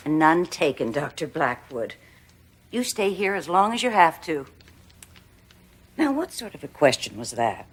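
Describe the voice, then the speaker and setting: Female TV-drama housekeeper, low and husky, swooping intonation, brisk authority. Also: big pitch swings, exaggerated voice. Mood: gracious, scolding. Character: brisk, proprietary housekeeper who scolds the groundskeeper. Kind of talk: television drama acting.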